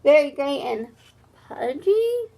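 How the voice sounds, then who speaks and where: Weepy girl hippo from a kids book, super high sobbing wail, timid and hurt. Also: very animated, warm tone, heavily acted. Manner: drawn-out, tearful delivery. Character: timid, weepy female hippo who wails when insulted. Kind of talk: children's storybook read-aloud.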